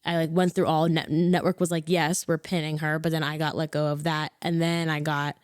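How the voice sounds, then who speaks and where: A woman in her twenties, an actor and comedian, telling her story in a rambling, self-correcting way, growing animated when excited. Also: low-pitched, brisk, clean tone, dry recording, clean studio sound. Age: 20s. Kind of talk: casual interview chat.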